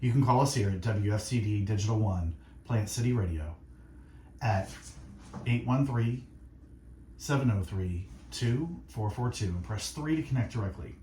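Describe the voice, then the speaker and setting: A man hosting faith-based radio, speaking in an earnest, reflective monologue of encouragement with short, deliberate phrases. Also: fairly low pitch, warm tone, roomy sound, slight background noise. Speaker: radio host. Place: radio studio.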